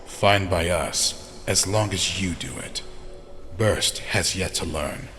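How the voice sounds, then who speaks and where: A man playing a composed, reassuring elder in an audio drama, with a measured and authoritative way of speaking. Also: low-pitched, a bit bright. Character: composed, reassuring male elder of a hive-mind family. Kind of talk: scripted fan audio drama acting. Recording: reverberant hall, faint noise.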